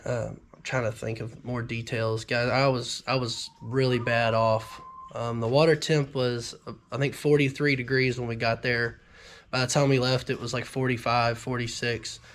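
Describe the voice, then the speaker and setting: A man's voice: medium pitch, a bit bright. A young man, a little nasal from a recent illness, rambling casually to his viewers with lots of ums as a fishing vlogger. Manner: rambling, frequent ums and restarts. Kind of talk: casual talk to camera.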